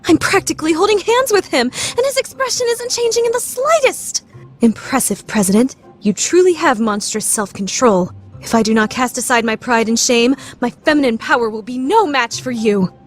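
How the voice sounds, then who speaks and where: A woman's voice: sits high, lively intonation, normal speed, soft background music. A woman playing a proud, scheming teenage student council vice president in an anime radio play, formal and theatrical. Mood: scheming, composed. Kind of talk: anime fan dub radio play acting.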